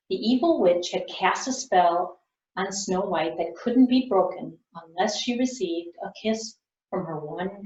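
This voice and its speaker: A woman librarian narrating a fairy tale for children in an expressive storytelling manner. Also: medium pitch. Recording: dull lo-fi recording.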